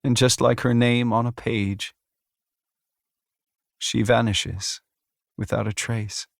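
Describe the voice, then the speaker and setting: A man's voice: medium pitch, level tone, slow pace, gravelly, no echo, studio quality. A man reading scripted first-person fantasy fiction as an audiobook narrator.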